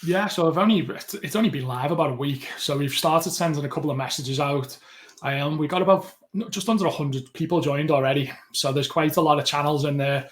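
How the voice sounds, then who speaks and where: A man with a Liverpool accent, co-hosting a podcast in relaxed, rambling chat. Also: on the high side, a little fast. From England. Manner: chatty, rambling with frequent restarts. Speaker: podcast co-host.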